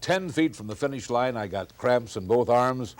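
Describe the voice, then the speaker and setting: A man's voice: medium pitch, expressive, normal speed. A man, a radio veteran, telling anecdotes in a casual group reminiscence.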